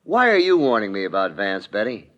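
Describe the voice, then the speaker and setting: An actor playing a cool, amused murder suspect in a 1940s radio drama, smooth-talking, teasing and sardonic. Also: male voice, lowish voice, very animated, brisk, highs cut off. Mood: amused, evasive.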